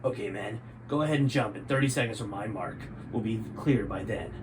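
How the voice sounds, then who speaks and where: A man's voice: lowish voice, fairly quick, noisy background, natural voice. A man voicing a stern, scowling army general in a storybook, terse and commanding.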